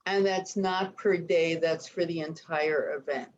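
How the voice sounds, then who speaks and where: A woman's voice: low voice, fairly even intonation, a little slow. A woman on a video call asks careful questions to make sure she understands the pricing. Her audio sounds dull, with faint room noise in the background. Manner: careful, checking details aloud. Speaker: convention planning team member.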